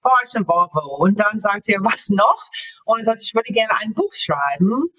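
A woman in her fifties, an author talking casually as an interview guest, halting and searching for words with many fillers. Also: mid-range voice, big pitch swings, pushed and bright, breathy edge, low-quality recording.

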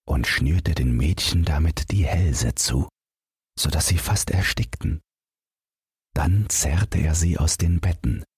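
A man's voice: a bass voice, darkish tone, slightly rough, no echo, studio quality. A man narrating a scripted bedtime fairytale as an audiobook reader, telling it expressively.

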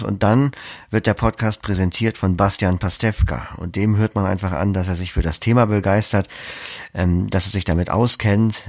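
A man with a very deep, creaky voice talks as an interview guest. He explains in an orderly way, with examples. The recording is dry and close, with no room sound. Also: no echo. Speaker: podcast producer, interview guest.

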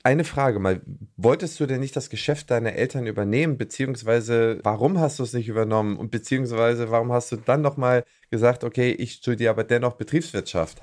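Measured male podcast host. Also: mid-range voice, a little mellow. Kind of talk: scripted intro, then casual interview questions.

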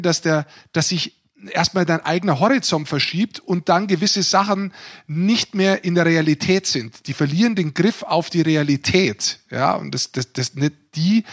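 Male podcast co-host, slightly high voice, earnest and philosophical, feeling his way through sentences. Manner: earnest, philosophizing, searching for words. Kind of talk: casual reflective podcast talk.

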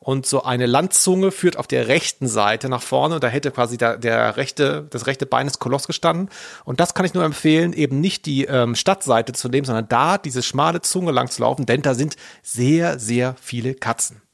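A man's voice: mid-range voice, normal speed, creaky, dry recording, studio quality. A man co-hosting a podcast, chatting casually. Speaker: podcast co-host. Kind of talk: casual chat.

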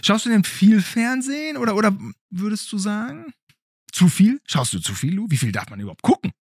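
A man's voice: sits high, big pitch swings, brisk, breathy edge, no room sound, clean studio sound. A man hosting a casual interview with a child, asking questions in a playfully impressed manner. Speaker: podcast host.

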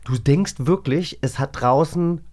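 A man's voice: a little high, unhurried, darkish tone. Casual, slangy male podcast co-host. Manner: blunt, slangy. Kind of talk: casual chat.

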